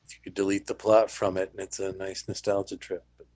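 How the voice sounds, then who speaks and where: A man's voice: low voice, monotone, brisk, a little mellow. A man chatting casually as a podcast panelist, with a blunt, dry way of talking. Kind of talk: casual discussion.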